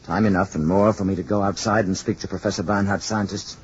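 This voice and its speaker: An actor playing a grave, commanding alien emissary who speaks in a measured, formal way in a 1950s radio drama. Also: male voice, lowish voice, fairly even intonation, natural voice. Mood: quiet, resolute. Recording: muffled, noisy vintage radio recording.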